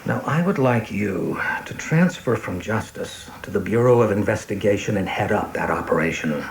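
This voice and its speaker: A man playing an older senior government official in a radio play, measured, formal and authoritative in an avuncular way. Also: fairly low pitch, animated. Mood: firm, persuasive. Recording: roomy echo with clear background noise.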